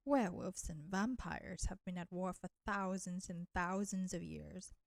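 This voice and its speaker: A woman's voice: fairly low pitch, vocal fry, dry recording. A woman voicing a flirty, nurturing vampire in an intimate roleplay audio drama, teasing and coaxing the listener. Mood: soothing, wry. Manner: slow, teasing, coaxing, addressing listener with pet names. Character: flirty, teasing, nurturing female vampire, ancient enemy turned caretaker.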